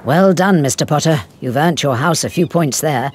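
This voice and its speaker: A woman playing a strict but kindly older British flying teacher in a video game, with a crisp schoolmistress manner. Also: low-pitched, normal speed, slight background noise, close to an everyday voice. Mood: approving. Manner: crisp, commanding, schoolmistress delivery. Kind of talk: video game cutscene acting.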